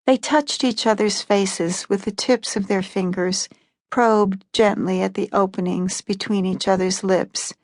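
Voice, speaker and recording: A woman reading literary short fiction as an audiobook narrator in a calm, even storytelling manner. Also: mid-range voice, no room sound, clean studio sound.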